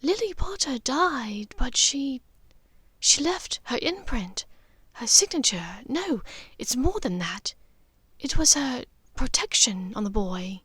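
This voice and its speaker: A woman's voice: sits high, sing-song, takes it slowly, a bit bright, slightly rough, no echo, pitched above the performer's own voice, full character voice. A woman voicing a refined, wistful middle-aged witch in an audiobook, speaking precisely and expressively. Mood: solemn, hushed. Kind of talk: audiobook character dialogue.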